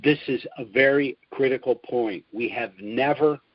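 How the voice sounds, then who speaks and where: A man's voice: mid-range voice, moderate pace, no room sound. Dull-sounding older American man hosting a call. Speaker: spokesman and host of a conference call. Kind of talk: informal business briefing on a conference call. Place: at home, recorded over a conference call. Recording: dull, dry phone conference audio.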